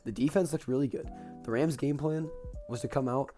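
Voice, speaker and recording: A young man with a raspy voice, getting over an illness, excitedly recapping a football game as a podcast host, with casual fillers. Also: medium pitch, fairly quick, music in the background. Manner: excited fan talk with fillers.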